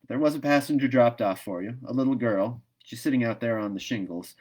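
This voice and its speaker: A man voicing a brisk, talkative small-town station master in a storybook, with a careless, wry manner. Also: mid-range voice, a little mellow, natural voice. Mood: brisk, amused. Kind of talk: storybook read-aloud.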